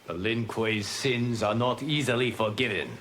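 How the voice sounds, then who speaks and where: An actor playing a wise, calm protector god in a video game, speaking in a solemn, measured, formal way. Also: male voice, mid-range voice, close to an everyday voice. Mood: stern, reproachful. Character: wise, calm male god protecting Earth, stern but kind. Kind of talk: video game dramatic dialogue. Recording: noisy game capture with clicks.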